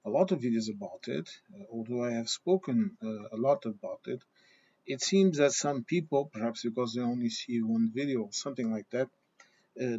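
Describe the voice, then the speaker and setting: Man, english, mid pitch, halting with restarts, slightly dull lo-fi sound. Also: a little mellow, slightly rough. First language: Portuguese and German. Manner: hesitant with many restarts, fillers and repeated "okay?". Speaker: vlogger. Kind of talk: opinion talk to camera.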